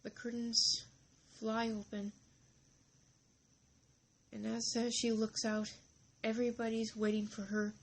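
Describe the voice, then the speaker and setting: A woman narrating a story informally, halting and deliberate, with dramatic pauses between short phrases. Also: a little high, completely flat delivery, slight background noise, rough recording.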